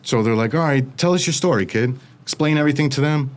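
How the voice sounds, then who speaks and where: American guy, youngish, telling a wild story fast, does little voices for the quotes. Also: medium pitch. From United States. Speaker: interview guest. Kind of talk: casual storytelling.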